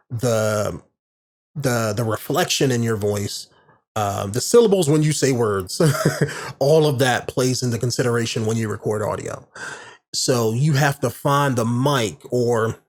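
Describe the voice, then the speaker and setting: Warm-voiced man rambling on a podcast. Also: medium pitch. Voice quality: warm, medium-range voice. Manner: loose and rambling, many fillers and restarts. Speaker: podcast host. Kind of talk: casual explanatory monologue. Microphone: large studio condenser microphone.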